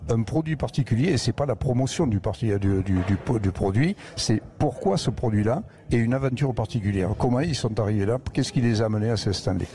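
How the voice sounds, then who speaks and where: A man's voice: medium pitch, lively intonation, brisk, hardly any pauses, dark tone. A man with a Lozère accent, an agricultural educator telling rambling anecdotes as a guest in a live radio interview broadcast from a museum. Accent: Lozère, southern France.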